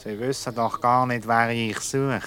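Bashful, stammering Swiss German private detective. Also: male voice, medium pitch, steady tone, unhurried, sharp tone. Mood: puzzled, curious. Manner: hesitant, stammering. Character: bashful, flustered, gullible male private detective, easily charmed. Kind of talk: radio comedy acting.

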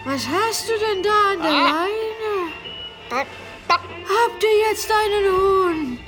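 Extremely slow, high-voiced old shopkeeper lady. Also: fairly bright tone, full character voice. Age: 80s. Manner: doddering, coaxing. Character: kindly, nearly blind old woman shopkeeper. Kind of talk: German radio play acting. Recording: music behind the voice.

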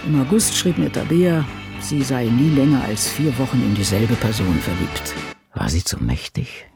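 A man's voice: mid-range voice, expressive, normal speed, over music, lightly acted. An actor playing a solitary, crotchety old woman novelist, reflecting and musing to herself in a radio drama. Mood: brooding. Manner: musing, talking to self. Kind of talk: radio drama monologue, diary reading.

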